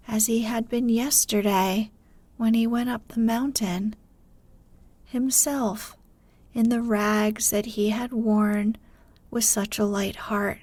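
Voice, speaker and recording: A woman narrating a bedtime story in a soothing, measured way. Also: fairly high pitch, a little mellow, no room sound. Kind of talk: slow scripted storytelling for sleep.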